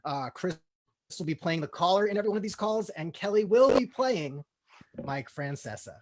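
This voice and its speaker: High-voiced man hosting a comedy table read. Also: animated, moderate pace, a little mellow, poor audio. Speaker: comedian host reading stage directions. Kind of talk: casual hosting and deadpan script reading.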